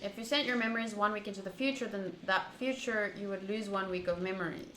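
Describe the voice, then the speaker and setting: A woman streaming a visual novel, reading text aloud and thinking out loud in an animated, rambling way. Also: medium pitch, fast. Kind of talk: reading game text aloud with casual commentary. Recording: roomy echo, faint background noise.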